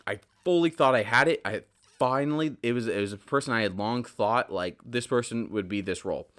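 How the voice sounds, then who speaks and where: A man's voice: medium pitch, a little fast, fairly bright tone. A man hosting a solo podcast in a casual, candid, rambling monologue, often interrupting and correcting himself. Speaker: podcast host, audio drama writer.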